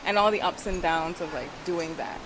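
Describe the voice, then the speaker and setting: Low-voiced woman, noisy background. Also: normal speed. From Grenada, raised in Barbados. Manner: chatty, laughs easily. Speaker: singer-songwriter guest. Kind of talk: casual interview. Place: outdoor arts event.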